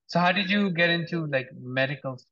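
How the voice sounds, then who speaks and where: Brief: male podcast host, bright forceful voice, dry dull lo-fi sound, encouraging interviewer. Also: on the high side, brisk, no room sound. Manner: encouraging, frequent short affirmations. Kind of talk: interview hosting.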